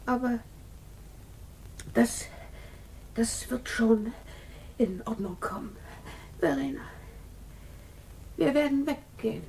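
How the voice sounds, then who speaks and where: An actress playing a gentle, childlike woman in a 1950s radio drama, pleading hesitantly and repeating her words. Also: on the high side, lively intonation, takes it slowly, creaky, long pauses, heavily acted. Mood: hurt, subdued. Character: gentle, timid, childlike middle-aged sister, pleading and devoted. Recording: noisy 1950s radio recording.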